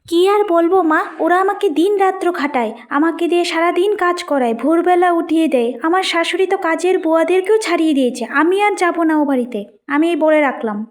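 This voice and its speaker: Woman voicing a very high-pitched, haughty young wife. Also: fast talker. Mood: sulky, complaining. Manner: indignant, complaining, snappish. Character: haughty young wife, sulky, work-shy daughter and sister-in-law.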